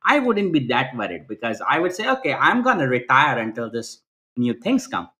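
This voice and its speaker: Bright-voiced man explaining earnestly. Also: medium pitch, big pitch swings, normal speed. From Pakistan. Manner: earnest, restarts often, ends points with "right?". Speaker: guest. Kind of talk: casual interview answers.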